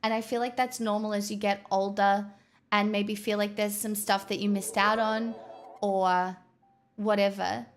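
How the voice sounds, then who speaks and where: Slow-talking chatty woman streamer. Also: mid-range voice, little pitch movement. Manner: chatty, rambling, talks to chat. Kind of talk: casual chat with stream viewers.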